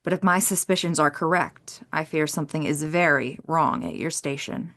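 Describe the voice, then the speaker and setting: A serious lady investigator in a mystery play, lowish voice, clinical and measured. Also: fairly bright tone. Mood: clinical, intent. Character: methodical, serious female investigator with forensic skills. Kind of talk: audio theater mystery acting.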